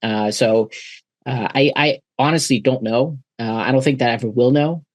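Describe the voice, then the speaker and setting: A man's voice: medium pitch, normal speed, no echo. A German-born man in his forties, a native German speaker, reflecting on his past as a podcast guest, with frequent fillers and restarts. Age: 40s. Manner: thoughtful, many uh fillers and restarts. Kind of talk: reflective interview conversation.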